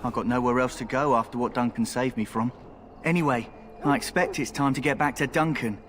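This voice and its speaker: An actor playing a cheeky, wary rogue recruit in a fantasy game, with an informal, streetwise way of talking. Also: male voice, medium pitch, steady tone, a little fast, fairly bright tone, a little hiss or hum, lightly acted. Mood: wry, wary. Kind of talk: video game dialogue. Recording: game music behind the voice.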